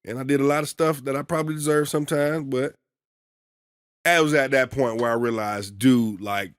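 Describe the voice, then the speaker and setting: Loud commanding man telling stories. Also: mid-range voice, fairly even intonation, moderate pace, dry recording, clean studio sound. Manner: loud, commanding, emphatic storytelling. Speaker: podcast co-host. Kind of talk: casual podcast chat.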